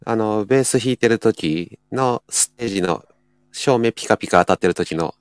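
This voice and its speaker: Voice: mid-range voice, normal speed, smooth, dry recording. A speaker, chatting casually as a guest. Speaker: guest with a sound and stage background. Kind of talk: casual chat.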